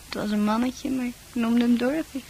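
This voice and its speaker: An actress playing a dreamy, sensitive, troubled young woman in a Dutch radio play, confiding in earnest, halting, poetic speech. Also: a little high, steady tone, creaky, darkish tone, slightly rough, poor audio. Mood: wistful, confiding.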